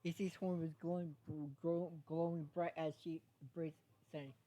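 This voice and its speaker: Amateur female storyteller, low register, halting read of fan fiction narration. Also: little pitch movement, dark tone, rough recording. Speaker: story reader.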